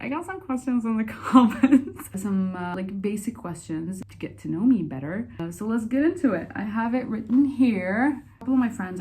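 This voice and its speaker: A young woman from Saudi Arabia talking casually and candidly in a personal Q&A vlog, chatty and quick to laugh. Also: fairly low pitch, warm tone. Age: young adult. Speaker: vlogger.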